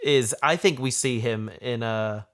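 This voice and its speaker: A man in a casual discussion as a podcast host, speaking haltingly and often restarting his sentences. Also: medium pitch, fairly bright tone. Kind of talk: casual discussion of an audio drama.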